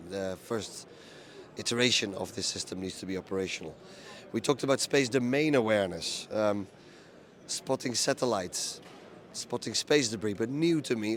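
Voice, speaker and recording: A man with a low, hoarse voice talks through a conference recap for his video channel. He speaks plainly and steadily, with frequent ums and uhs. The rasp from a lost voice stays through every line. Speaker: event reporter recapping a conference. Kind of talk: casual spoken recap to camera.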